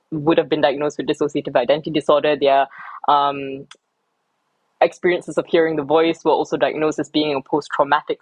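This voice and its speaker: A woman from Singapore talks through her research as an interview guest. She is reflective and academic, often pausing with ums and restarting sentences. The recording is slightly dull and dry. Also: sits low, no echo.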